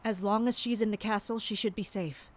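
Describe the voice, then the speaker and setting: A woman in an audio drama playing a loyal royal guard captain, brisk, alert and protective. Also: medium pitch, steady tone, natural voice. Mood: urgent, protective.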